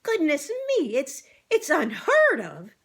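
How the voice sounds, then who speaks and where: A woman's voice: high voice, wildly swooping pitch, takes it slowly, fairly bright tone, voice pushed up, heavily acted. A woman voicing a prim, easily shocked female farm creature in a children's story, fluttery and exclamatory. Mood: shocked, indignant.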